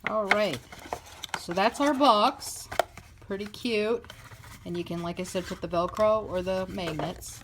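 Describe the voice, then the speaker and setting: A woman's voice: mid-range voice, a little slow. A woman hosting a casual hands-on craft tutorial, thinking aloud in an informal way as she works at a table indoors. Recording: clearly noisy home recording with clicks.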